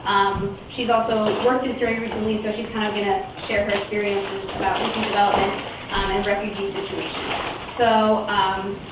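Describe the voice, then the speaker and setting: A woman's voice: medium pitch, little pitch movement, moderate pace, hardly any pauses. A woman hosting an event, introducing speakers informally in a hesitant, loosely phrased way. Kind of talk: informal spoken introduction at a community talk. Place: indoor room at a public talk. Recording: very echoey room, distant mic, loud noise.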